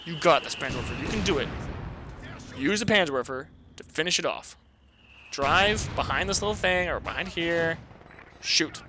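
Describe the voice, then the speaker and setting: A man casually commentating a strategy game replay, excitable and laughing often, talking to his chat, his voice a bit hoarse. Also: a little high, normal speed, pauses often, background noise. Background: video game gunfire and artillery.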